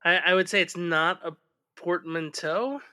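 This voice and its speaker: A man joins a podcast as a guest, chatting in a joking, informal style. His voice is on the high side, strikingly bright and crisply clear. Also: unhurried. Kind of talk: casual joking podcast chat.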